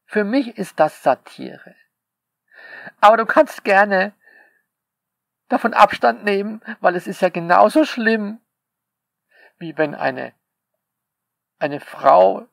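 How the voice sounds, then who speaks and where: A man's voice: high voice, vocal fry, fairly bright tone, dry recording, studio quality. A man, the author, speaking a sarcastic, polemical monologue to his listeners and peppering it with rhetorical questions. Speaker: author reading commentary on his novel.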